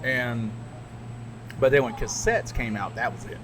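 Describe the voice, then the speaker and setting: A man's voice: medium pitch, unhurried. Middle-aged man telling radio stories. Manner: storytelling, animated asides. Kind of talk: casual podcast chat. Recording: noisy background.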